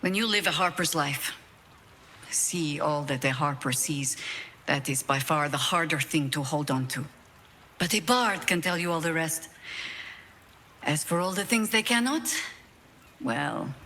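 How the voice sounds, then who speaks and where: An actress playing a battle-worn older woman druid in a video game, proud, wry and grieving, speaking in a measured, eloquent, dramatic way. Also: low-pitched, animated, fairly bright tone, a little hiss or hum, heavily acted. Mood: reflective, tender.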